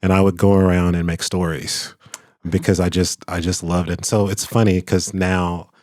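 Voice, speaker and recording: A middle-aged man with a very deep voice talks openly as an interview guest, thoughtful and candid, often pausing, restarting and laughing. The sound is close and dry, with no room echo. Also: level tone, a little mellow. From Kentucky, US. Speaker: author and attorney, interview guest. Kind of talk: casual interview conversation.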